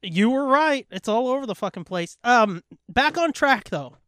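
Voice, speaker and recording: A man's voice: very high voice, animated, normal speed, fairly bright tone, smooth, no room sound, studio quality. A man co-hosting a casual comedy podcast, bantering and swearing freely. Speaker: podcast co-host. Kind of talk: casual, profane podcast banter and joke reading.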